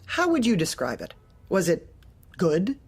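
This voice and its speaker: Female office manager: lowish voice, lively swoops in pitch, polite but prying, bureaucratic, dark comedy audio drama. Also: measured pace, slightly rough, heavily acted. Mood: probing, businesslike. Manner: polite, probing, businesslike, bureaucratic.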